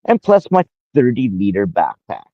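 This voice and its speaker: A man's voice: fairly low pitch, wildly swooping pitch, moderate pace, no room sound. Man, 40s, rambling livestreamer who repeats himself; dead dry, muffled lo-fi sound. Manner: rambling, addresses a viewer by name, repeats himself. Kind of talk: casual livestream talk.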